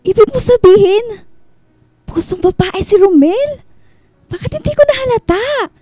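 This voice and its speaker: A woman's voice: very high voice, animated, a little slow, mellow, dry recording. A woman playing a smitten, teasing, naive young woman with a Filipino accent in a radio drama. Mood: impatient, shocked.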